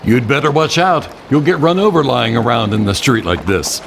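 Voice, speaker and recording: A man's voice: mid-range voice, moderate pace, creaky, a little hiss or hum, close to an everyday voice. An actor playing a dry, teasing older martial arts mentor, a man, in an audio drama. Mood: wry.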